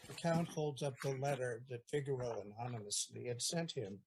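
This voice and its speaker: A man's voice: medium pitch, normal speed, slight background noise, rough recording. A man with a mid-range voice reads stage directions for an audio play. He sounds plain and matter-of-fact. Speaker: narrator.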